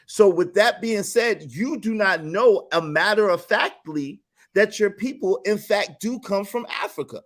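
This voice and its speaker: High-voiced man arguing on a panel. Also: expressive. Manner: measured, argumentative, stammers restarts. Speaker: panel host. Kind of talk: heated panel debate.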